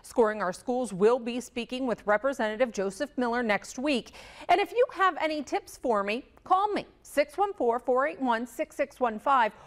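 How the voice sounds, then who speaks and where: A woman's voice: on the high side, lively intonation, moderate pace. Woman reporter speaking to camera. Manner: crisp, direct reporter delivery. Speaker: TV news reporter. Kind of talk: live news report on set.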